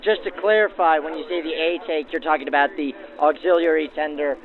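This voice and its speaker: Man, vintage radio host, descriptive and formal, higher-pitched, narrow phone-like sound. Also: steady tone, fairly quick. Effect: 1940s radio filter. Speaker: host and interviewer of a train excursion show. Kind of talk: on-location interviews and descriptive narration in old-time radio manner.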